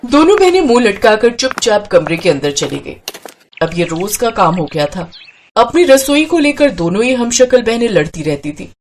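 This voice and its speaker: A woman's voice: on the high side, big pitch swings, normal speed, a little mellow, a little hiss or hum. A woman narrator reading a scripted story in a storytelling style.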